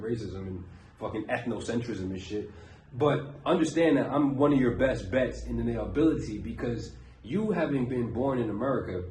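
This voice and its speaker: A man's voice: mid-range voice, a little mellow. Profane, preachy New man, roomy sound. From Brooklyn, New York. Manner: blunt, profane and preachy, circling back to his point. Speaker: self-improvement coach. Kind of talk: casual motivational monologue. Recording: roomy echo, faint background noise.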